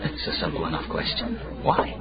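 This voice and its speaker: An actor playing a sardonic, hard-drinking advocate in a radio drama, wry and theatrically declaiming. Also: male voice, brisk, crowd noise, lightly acted. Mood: probing. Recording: muffled radio recording, crowded tavern.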